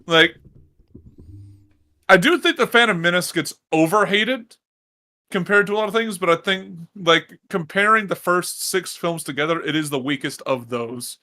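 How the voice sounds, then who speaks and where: Blunt man with a bright voice. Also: high voice, lively intonation, moderate pace. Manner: blunt, swearing, teasing. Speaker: podcast co-host. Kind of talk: casual chat.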